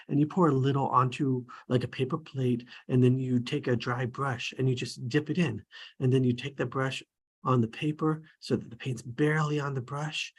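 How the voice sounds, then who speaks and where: Husky man on a casual video call. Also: medium pitch, a little mellow. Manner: casual, explaining in plain terms. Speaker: table read participant. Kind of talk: amateur script table read over video call.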